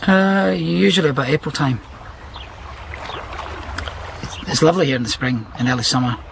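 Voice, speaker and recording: An actor playing a reserved, gentle, nature-loving man with a Glasgow Scottish accent in a radio drama, plain-spoken and understated. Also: a little high, expressive, moderate pace, vocal fry, background noise. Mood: reminiscing.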